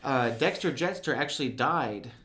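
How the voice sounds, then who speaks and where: A man co-hosting a podcast in casual, joking chat, his voice a bit raspy from yelling. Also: medium pitch, animated, normal speed. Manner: enthusiastic, joking. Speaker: podcast co-host.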